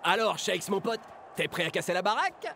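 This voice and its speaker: Hyper young cartoon soccer dude, super high n creaky, slangy, loves to party. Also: male voice, sing-song, brisk, pushed and bright, slight background noise, full character voice. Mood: teasing, upbeat. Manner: slangy, exuberant. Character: excitable, boisterous young male footballer who loves parties. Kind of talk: French cartoon dub.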